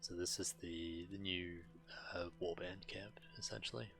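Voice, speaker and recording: Halting, casual male game streamer. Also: sits low, fairly even intonation, vocal fry, fairly bright tone, soft background music. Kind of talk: casual livestream commentary.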